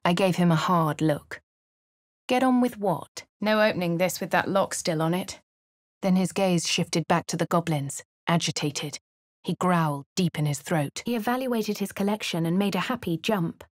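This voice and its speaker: An actress voicing a posh young woman adventurer in a game, speaking in a posh English accent and swinging between quiet whispers and sharp cries, recorded close in a studio. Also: fairly low pitch, no room sound. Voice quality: crisp upper-class delivery. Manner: varied reading, from soft whispers to sharp shouts. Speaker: player character. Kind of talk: game voice lines, first-person narration. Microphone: close studio mic.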